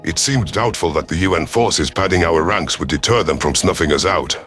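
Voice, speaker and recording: A man performs a proud reptilian alien commander narrating a space war story. The voice is low and stern, with formal phrasing and a gruff temper. Music sits behind it. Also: fairly quick, fairly bright tone. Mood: grave narration. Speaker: narrator in character. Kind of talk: first-person scripted narration.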